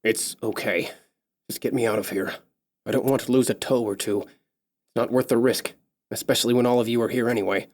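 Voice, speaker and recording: A man voicing a quiet, weary injured male traveler. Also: medium pitch, fairly even intonation, a little fast, lightly acted. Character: injured man wanting to go home.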